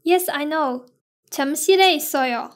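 A woman slowly reading lesson phrases and their translations with an even, spaced delivery. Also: sits high. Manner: slow, evenly spaced delivery. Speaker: lesson reader.

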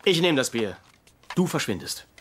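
An actor in a dubbed TV comedy playing a gruff, biting middle-aged doctor who mentors an intern. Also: male voice, mid-range voice, big pitch swings, normal speed, background noise, natural voice. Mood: scornful. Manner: biting, insulting, ranting. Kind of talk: German dubbed TV comedy acting.

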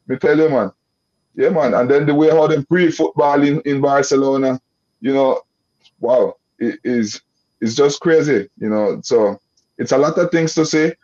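A man's voice: medium pitch, normal speed, darkish tone. A young man from Nicaragua with a Creole English accent, talking loosely on a video call and restarting his sentences often. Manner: loose rambling with many restarts and "you know" fillers. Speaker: guest. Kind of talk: casual video-call interview. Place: indoor room. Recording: dull lo-fi video call audio.

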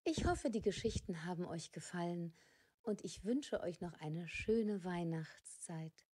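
Female narrator, scripted storytelling, husky, low, unhurried. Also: lively intonation, dark tone.

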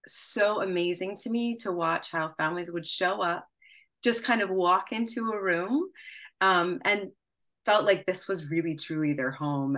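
Bright-voiced woman moderating a video call. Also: low voice. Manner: warm, hesitant with many fillers. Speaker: panel moderator. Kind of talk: casual panel talk on video call. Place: home room with bookshelves. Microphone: laptop webcam on video call.

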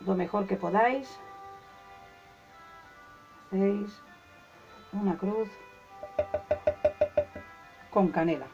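A woman calmly explains a home ritual step by step, talking to her viewers as friends. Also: mid-range voice, very slow, long pauses, highs cut off, soft background music. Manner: calm, instructive, addresses viewers as friends. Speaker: ritual channel host. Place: indoors at a table, hands only in shot.

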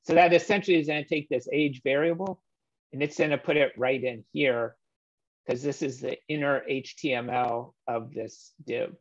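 A man in his thirties walking through code on a shared screen, relaxed and explanatory with frequent "um" fillers, heard over a video call. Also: medium pitch, normal speed. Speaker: meetup presenter. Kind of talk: screen-share coding walkthrough. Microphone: webcam or laptop mic on a video call. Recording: slightly dull video-call audio.

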